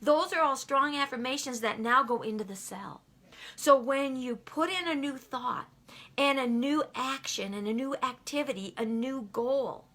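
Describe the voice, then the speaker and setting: Woman, motivational speaker, emphatic, repeats for effect, bright tone, higher pitch. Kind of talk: motivational talk.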